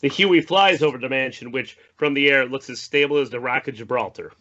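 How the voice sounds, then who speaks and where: A man's voice: mid-range voice, fairly quick, sharp tone, lo-fi sound. A man reading screenplay stage directions aloud as narrator at a table read.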